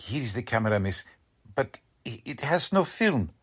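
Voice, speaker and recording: An actor playing an anxious, dignified immigrant father with a German accent and a halting, earnest manner, in a 1940s radio drama. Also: mid-range voice, big pitch swings, slightly rough. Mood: eager, flustered.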